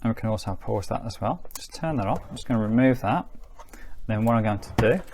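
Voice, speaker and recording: Gravelly man reviewing a gadget. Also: lowish voice, animated, quick pace, loud music behind the voice. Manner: chatty, unscripted, explanatory. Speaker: product reviewer. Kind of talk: casual hands-on gadget review.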